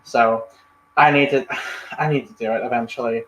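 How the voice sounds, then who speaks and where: A man's voice: mid-range voice, steady tone, normal speed, roomy sound, a little hiss or hum, poor audio. A man hosting a casual livestream, reading and answering chat comments in a rambling, friendly way with lots of fillers and restarts. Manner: friendly, thanks viewers often. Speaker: livestream host.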